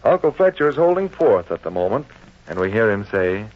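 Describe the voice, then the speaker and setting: A man's voice: on the low side, big pitch swings, brisk. A man giving folksy, scripted scene-setting narration in a vintage radio comedy style. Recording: dull old radio recording.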